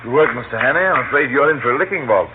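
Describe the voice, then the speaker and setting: An actor playing a breezy, polite, well-bred young nephew with a chatty manner, in 1930s radio drama style. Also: male voice, mid-range voice, lively intonation, rapid-fire, close to an everyday voice. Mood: sporting. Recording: noisy, tinny 1930s radio broadcast.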